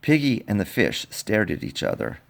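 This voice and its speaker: A man reading a picture book aloud in an expressive, playful way, talking directly to young listeners. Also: lowish voice, moderate pace, gravelly. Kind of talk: animated children's storybook read-aloud.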